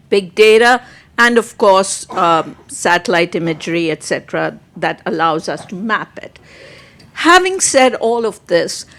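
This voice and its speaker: A middle-aged woman answers questions on a conference panel, taking her time. Her delivery is slow and deliberate, with mid-range pitch and small pauses as she gathers her thoughts. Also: a bit bright. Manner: measured, thoughtful, with fillers. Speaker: panelist, survey program director.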